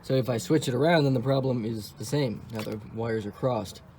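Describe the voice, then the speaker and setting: A young man rambles through his car project in a loose vlog style, breaking off for sarcastic jokes. His voice sits at an ordinary middle pitch. Steady ambient noise hums under the recording. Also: fairly quick. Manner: wisecracking, sarcastic asides; offhand, thinks aloud while working on a car. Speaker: car vlogger. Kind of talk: casual vlog commentary.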